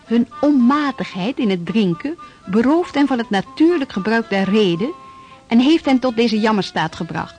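An actress playing a formal reciter of old historical documents in a scripted radio drama. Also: female voice, fairly high pitch, expressive, normal speed, a little mellow, faint music behind, lightly acted. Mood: disapproving.

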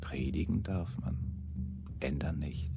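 An actor in a German radio play playing a fiery, embittered radical preacher, with a husky, declamatory and biting delivery. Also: male voice, extremely low pitch, slow, over loud music, exaggerated voice. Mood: bitter, sardonic.

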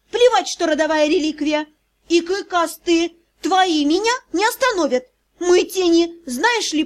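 A woman's voice: very high voice, pitched above the performer's own voice. A woman voicing a fearless, hot-tempered young vampire noblewoman in an audiobook, imperious and scolding.

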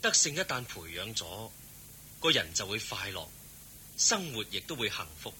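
An actor playing a serene, kindly holy teacher in a Hong Kong Cantonese radio drama, speaking in a composed, gently instructive way. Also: male voice, lowish voice, sing-song, moderate pace, a little hiss or hum, heavily acted.

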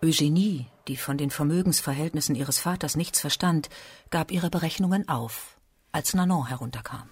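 A woman's voice: sits low, moderate pace, darkish tone, breathy edge, no room sound. A woman delivering scripted literary narration in a radio play.

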